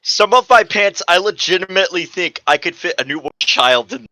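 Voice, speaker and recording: Male, sharp bright tone, slightly high, casual and exasperated online-chat delivery. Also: lively intonation, normal speed, dry recording.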